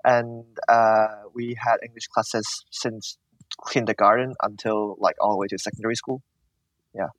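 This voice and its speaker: A man's voice: on the low side, flat delivery, normal speed, a bit bright, no echo, rough recording. A young man from Hong Kong with a Hong Kong accent, answering interview questions with lots of "uh" and "like". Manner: hesitant, many fillers like "uh" and "like". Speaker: guest.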